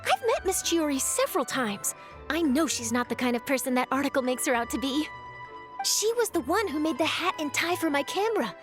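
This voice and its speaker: A woman playing an earnest, perky young newspaper reporter in a video game, articulate and principled. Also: high voice. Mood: friendly. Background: music.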